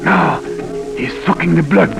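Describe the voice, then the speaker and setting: An actor playing a big, proud Haitian officer in a 1940s radio drama, grave and commanding in simple broken English. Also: male voice, fairly high pitch, unhurried, creaky. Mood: tense, grim. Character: big proud Haitian native officer, a determined cult infiltrator. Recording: old 1940s radio recording with music.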